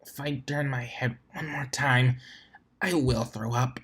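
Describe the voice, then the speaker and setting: A man's voice: steady tone, unhurried, a bit bright, husky. A man voicing a stubborn, queasy female pony team captain from a storybook, in a terse, strained way. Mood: strained, firm. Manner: terse, strained, low-volume. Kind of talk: storybook character reading.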